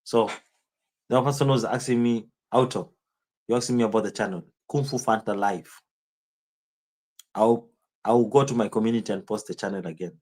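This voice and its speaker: A man's voice: lowish voice, normal speed, long pauses, a little mellow. A man with a Kenyan accent streaming live, rambling in casual commentary and answering viewers' chat comments by name.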